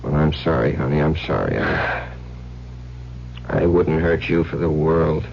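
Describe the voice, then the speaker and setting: Deep-voiced controlling husband. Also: male voice, fairly even intonation, a little slow, gravelly, full character voice. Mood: softly menacing. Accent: American. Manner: insistent, coaxing, probing. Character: controlling new husband, smooth with veiled menace. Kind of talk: old-time radio drama acting. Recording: muffled, noisy vintage radio broadcast.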